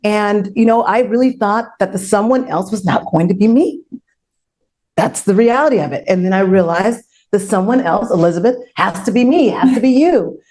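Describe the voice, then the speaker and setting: A woman's voice: medium pitch, normal speed, a little mellow. An outspoken woman hosting an unscripted panel discussion, speaking emphatically and repeating her key phrases. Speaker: outspoken host of an online forum panel. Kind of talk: unscripted panel talk, personal testimony.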